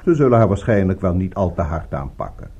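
Radio drama villain: courteous, coolly menacing gang boss, mellow tone, lively melodic delivery. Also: male voice, deep voice, breathy edge, dull audio, exaggerated voice. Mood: brisk, authoritative. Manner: polished, falsely courteous, unhurried. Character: suave male crime boss, coolly menacing, courteous host. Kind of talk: Dutch radio drama acting.

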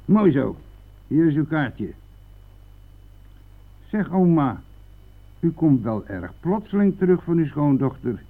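A man's voice: a little high, big pitch swings, slow pace, long pauses, exaggerated voice. An actor playing a chatty, coarse, self-pitying, hard-drinking bus driver who needles his passenger in a colloquial radio-drama style. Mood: nosy, needling. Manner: garrulous, jovial, needling, colloquial. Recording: tinny, band-limited, noisy old radio-play recording.

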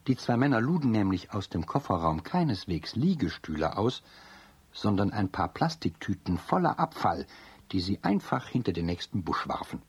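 A man narrating a children's radio play as a scripted storyteller, with a husky voice. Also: lowish voice, very animated, normal speed, dry recording.

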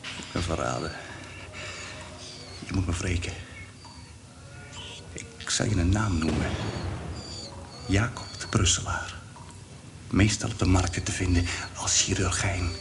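A low-voiced, urgent condemned prisoner. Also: male voice, measured pace, pauses often. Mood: urgent, vengeful. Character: condemned prisoner, a poor man, urgent, fond brother. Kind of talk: radio drama acting. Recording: noisy radio play recording.

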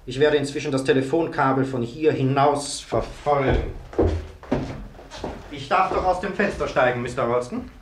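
An actor playing a police sergeant who questions people insistently in a 1950s radio mystery. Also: male voice, mid-range voice, moderate pace, noisy background, natural voice. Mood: businesslike, impatient. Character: probing police sergeant investigating a murder. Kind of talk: 1950s German-language radio mystery acting. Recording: 1950s radio recording with music.

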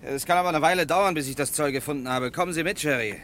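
A man's voice: on the high side, fast talker, a bit bright, slight background noise, lightly acted. An actor playing a man who comes home to find his wife murdered, in 1960s radio drama style. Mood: neighborly. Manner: friendly neighborly chatter turning to anguished calling. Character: husband discovering his wife dead, increasingly frantic.

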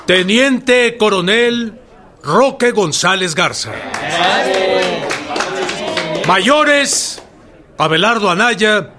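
An actor playing an earnest revolutionary leader addressing his troops in a deliberate, declamatory radio drama style. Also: high voice, lively intonation, heavy background noise. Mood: proclaiming. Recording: loud crowd behind the voice.

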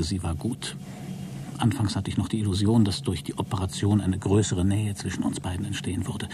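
A man's voice: deep voice, background noise, natural voice. An actor playing an embittered, self-important man in a radio play, speaking his log in a pedantic, formal, cold manner. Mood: hushed, grimly resolved. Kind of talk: German radio play acting, spoken logbook monologue.

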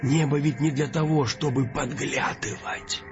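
A man playing a soft-spoken, insinuating tea lover in an audio drama, coaxing in drawled, halting phrases. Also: mid-range voice, fairly even intonation, highs cut off, over music, lightly acted. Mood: cosy, insinuating. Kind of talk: scripted audio drama dialogue.

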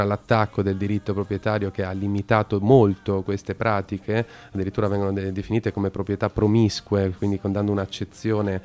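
A man hosting a radio talk, speaking hesitantly with many pauses and filler sounds. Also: fairly low pitch, darkish tone, clear, resonant tone. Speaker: radio host.

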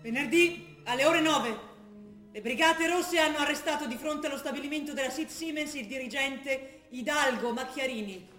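An actress playing an earnest, ideologically convinced young revolutionary daughter in an insistent, declamatory stage style. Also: female voice, sits high, normal speed, fairly bright tone. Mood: solemn, militant. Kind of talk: stage drama acting, declaimed political proclamation. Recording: roomy stage sound with music behind.

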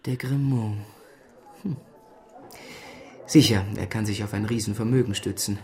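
An actor playing an affable young stockbroker in a radio play, urbane and conversational. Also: male voice, lowish voice, measured pace, dark tone.

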